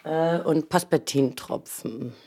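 A woman's voice: low voice, takes it slowly, creaky, mellow, husky. A woman in the role of a hotel chambermaid, narrating and chatting casually on location. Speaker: hotel chambermaid guiding a project. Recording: faint background noise.

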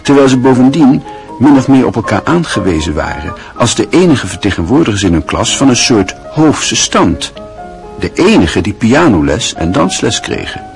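A man narrating a radio play in a measured storytelling manner. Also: mid-range voice, very animated, vocal fry, soft background music.

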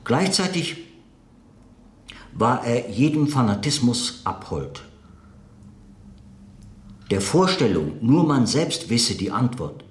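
A man's voice: medium pitch, big pitch swings, slow, pauses often, reverberant. An actor playing a reflective man in a radio play, searching hesitantly and earnestly for words as he remembers a friend. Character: reflective man recalling an enigmatic late writer friend. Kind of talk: naturalistic German radio play acting, interview-like monologue.

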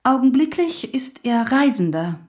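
Radio play role: long-suffering genteel lady, higher-pitched, dramatic, plaintive delivery. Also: very animated, a little slow, a little mellow. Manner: plaintive, theatrical. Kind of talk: German radio play acting.

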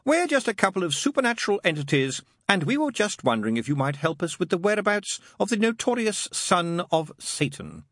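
Man voicing a sly, sardonic demon, swooping pitch. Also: sing-song, brisk, hardly any pauses, fairly bright tone, no echo, studio quality, exaggerated voice. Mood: coldly mocking. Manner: sarcastic, exasperated.

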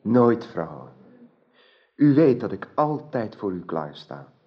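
An actor playing a loyal, courteous knight in a radio drama, guarded and earnest. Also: male voice, fairly low pitch, sing-song, takes it slowly. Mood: troubled, devoted. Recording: dull old radio drama recording.

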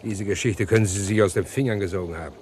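A man's voice: on the low side, fairly even intonation, darkish tone, slight background noise, lightly acted. An actor playing a haughty, guarded murderer who tells his own story in a vintage radio play. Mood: scornful. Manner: measured, haughty, hesitant.

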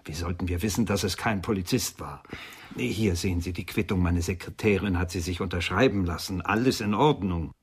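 A precise defensive office man, deep husky voice, radio crime drama. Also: brisk.

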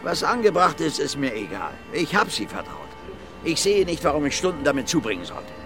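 Blunt middle-aged brawler, swinging intonation. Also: male voice, a little high, sing-song, fairly quick, over music. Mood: defiant, stubborn. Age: 50s. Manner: blunt, plain-spoken, repeating himself. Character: gruff, blunt, self-confessed violent brawler in his fifties. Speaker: suspect being questioned. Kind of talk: radio drama acting.

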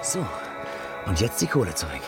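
A man's voice: fairly low pitch, wildly swooping pitch, moderate pace, over loud music. An actor playing an irritable, impatient young man in a radio drama, blunt and slangy. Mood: tense, hurried.

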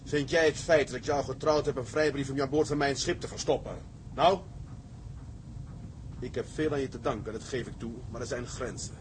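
An actor playing a gruff, proud sea captain in a period seafaring drama, indignant and commanding. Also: male voice, medium pitch, brisk, slight background noise, lightly acted. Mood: indignant, scolding.

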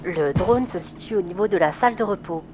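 A woman voicing a calm, obedient spaceship computer in an audio drama, announcing in a factual, procedural manner. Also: lowish voice, brisk, background noise, lightly acted. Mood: calm, neutral.

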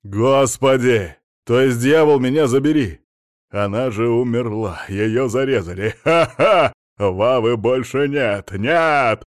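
A man voicing an irritable, bedridden husband with a hoarse, rasping voice. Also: on the low side, unhurried, no echo, studio quality, natural voice. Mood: panicked.

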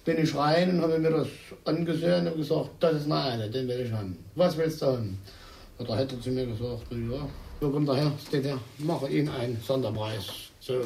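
A man's voice: medium pitch, a little fast, gravelly, a little mellow. A man telling a casual anecdote in a boastful, colloquial way. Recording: distant field recording with music behind.

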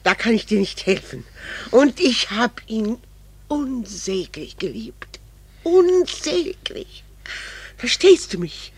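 Passionate middle-aged wife, husky voice, solemn and emphatic, resolute, old radio play. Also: female voice, a little high, big pitch swings, takes it slowly, a little mellow, dry recording, full character voice. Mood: defiant. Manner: grave, fervent, solemnly emphatic. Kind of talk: 1960s German-language radio drama acting.

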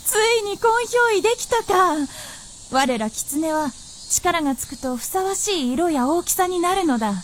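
A woman's voice: extremely high pitch, sing-song, slight background noise, full character voice. A woman playing a lofty, knowing fox princess who speaks in an archaic manner in a Japanese radio drama. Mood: amused, proud. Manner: slow, archaic, superior speech.

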